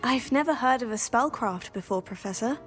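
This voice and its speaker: A woman's voice: a little high, animated, normal speed, faint music behind, lightly acted. A woman playing a polite, eager teenage witch student in a game cutscene, speaking earnestly. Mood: curious, eager. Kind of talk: scripted game cutscene acting.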